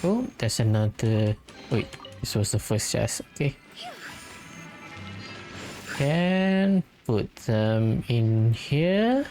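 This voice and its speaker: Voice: mid-range voice, very animated, extremely slow, pauses often, warm tone. A gamer doing casual commentary on their own playthrough, tentatively muttering and thinking aloud. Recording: game music under the voice. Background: video game music.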